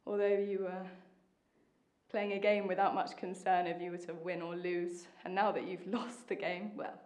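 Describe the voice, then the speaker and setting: A woman with a British accent acting a lonely, bitter, longing young wife in a stage monologue, intense and deliberate, with dramatic pauses. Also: lowish voice, little pitch movement, darkish tone, natural voice. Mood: wistful. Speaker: acting student. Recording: echoey room.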